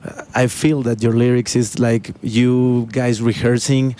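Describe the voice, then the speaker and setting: A man running an interview, speaking haltingly as he gropes for the right words. His phrasing is non-native and slightly broken, with plenty of "uh" between his questions. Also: medium pitch, flat delivery, warm tone.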